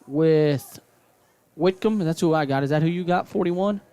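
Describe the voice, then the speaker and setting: A man calling high school football play-by-play on radio, building to excited calls on big plays. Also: normal speed, smooth, no echo. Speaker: play-by-play announcer.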